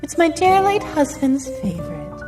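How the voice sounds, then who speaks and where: A woman's voice: high-pitched, very animated, moderate pace, darkish tone. An actress playing a scheming, murderous queen in a musical audio drama, regal and sugary with grandiose menace. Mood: sugary, sly. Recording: long reverb under music.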